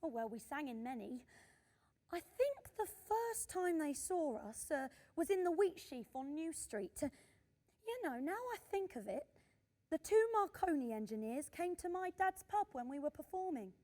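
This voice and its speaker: A woman's voice: high-pitched, big pitch swings, normal speed, warm tone. An actress playing a modest, cheeky old woman who was once a singer, chuckling and plain-spoken in a radio drama. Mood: amused reminiscing. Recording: long hall-like reverb.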